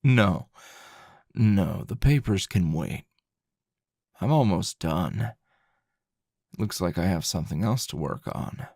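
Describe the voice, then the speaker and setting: A man playing a grumpy middle-aged teacher in an intimate ASMR roleplay, a teasing, possessive lover with a low, gravelly, husky murmur and a slow drawl. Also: expressive, a little mellow, dry recording, clean studio sound, exaggerated voice. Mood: amused, teasing.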